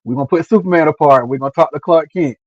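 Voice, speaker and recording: A man hosting a casual interview over a video call, earnest and halting, often repeating himself. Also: fairly high pitch, dry recording. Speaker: host interviewer. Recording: dull lo-fi stream audio.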